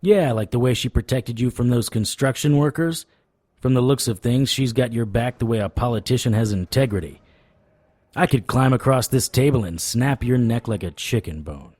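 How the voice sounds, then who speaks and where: An actor playing a wry, tough supernatural private investigator in a noir audio drama, with a deadpan, sardonic delivery. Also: male voice, mid-range voice, moderate pace, dry recording, lightly acted. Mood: threatening.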